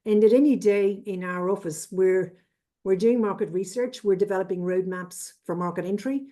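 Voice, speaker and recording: A woman in her fifties with an Irish accent, giving a brisk, businesslike webinar presentation as a trade consultant over a video call. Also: medium pitch, mellow. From Ireland. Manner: brisk and businesslike, lists with "et cetera".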